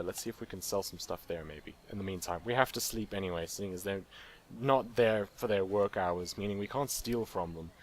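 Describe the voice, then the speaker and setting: Playful man narrating his gameplay. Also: fairly low pitch. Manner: playful, jokey, talks to game characters. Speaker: let's play gamer. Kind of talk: casual gameplay commentary.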